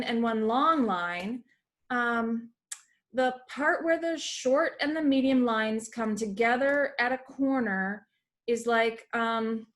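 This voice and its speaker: A little monster schoolgirl, played by a woman with a high voice. She is an eager, emphatic storybook pupil who hesitates over her words and gets exasperated easily.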